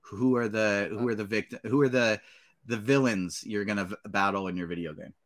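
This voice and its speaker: A man's voice: on the low side, steady tone, brisk. A man hosting and moderating a podcast draft in casual, bantering chat.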